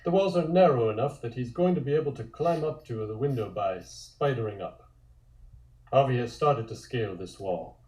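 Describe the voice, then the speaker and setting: A man narrating a scripted comic table read in measured, descriptive storytelling, with echoey room sound. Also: medium pitch, animated, a little mellow.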